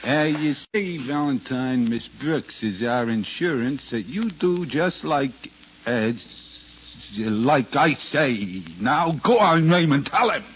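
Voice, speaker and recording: An actor playing a dim, bullying gangster boss with halting, rough speech in 1940s-50s radio drama style. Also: male voice, mid-range voice, animated. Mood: menacing. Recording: dull, noisy old radio recording.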